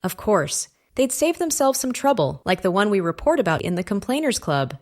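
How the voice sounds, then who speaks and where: A woman's voice: medium pitch, very animated, normal speed, lightly acted. A woman voicing a composed, helpful female puppet reporter in a fan-dubbed mock news show.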